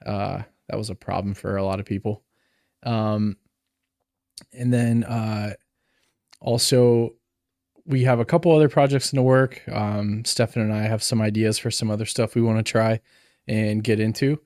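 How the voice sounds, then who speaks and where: A man's voice: on the low side, fairly even intonation, normal speed, darkish tone, dry recording, studio quality. A man co-hosting a podcast, chatting casually and rambling with plenty of fillers. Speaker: podcast co-host. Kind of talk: casual chat.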